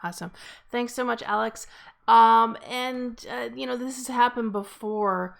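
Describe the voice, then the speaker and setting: Woman with heavily creaky voice. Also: fairly high pitch, unhurried. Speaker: table read host. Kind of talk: casual live-stream hosting.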